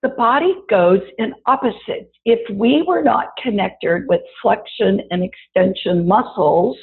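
A woman with a raspy voice after an illness, teaching a wellness lesson and chatting directly to her listeners. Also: medium pitch, unhurried. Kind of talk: guided body-awareness talk. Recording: tinny phone-like audio.

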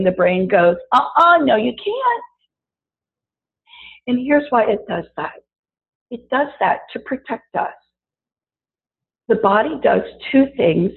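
Female wellness teacher, gravelly from recent illness, talks straight to listeners, thin phone-line sound. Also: medium pitch. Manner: warm, chatty, addresses listeners directly. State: raspy voice after illness. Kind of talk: guided body-awareness talk. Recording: tinny phone-like audio.

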